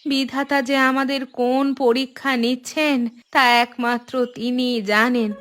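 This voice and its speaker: A woman voicing a frail, worried elderly mother-in-law in a Bengali cartoon, with a plaintive, drawn-out delivery. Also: sits high, level tone, fairly bright tone. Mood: weary, worried.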